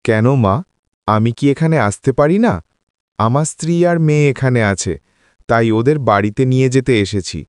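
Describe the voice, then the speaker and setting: Earnest young husband, very animated man acting. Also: mid-range voice, moderate pace, no room sound, clean studio sound, natural voice. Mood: pleading. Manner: earnest, emphatic pleading. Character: devoted, earnest young husband and dutiful son. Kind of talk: dramatized cartoon voice acting.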